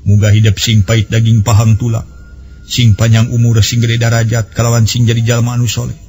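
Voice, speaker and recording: A man playing a smitten, flustered young man with a halting manner in Sundanese storytelling, on an old cassette recording. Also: mid-range voice, completely flat delivery, darkish tone, natural voice. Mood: tender. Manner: stammering, halting.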